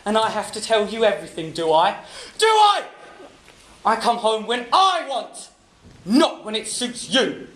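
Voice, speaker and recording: A bullying husband with a sneering, taunting edge, played by a man. His voice sits very high and swings widely, and it has a bright, tense ring. The recording is roomy and echoey. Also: animated, normal speed. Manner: sneering, taunting, explodes into shouting. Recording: roomy echo.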